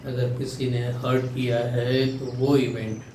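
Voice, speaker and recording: A man with an Indian accent leading a guided meditation, giving calm, soothing instructions with long pauses. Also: medium pitch. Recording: roomy echo, distant mic, faint noise.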